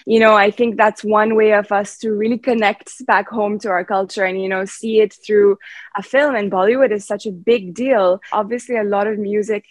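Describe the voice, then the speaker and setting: A young woman from Belgium, a singer-songwriter, talking as a radio interview guest in a chatty, expressive way with many fillers. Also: mid-range voice, normal speed, dry recording.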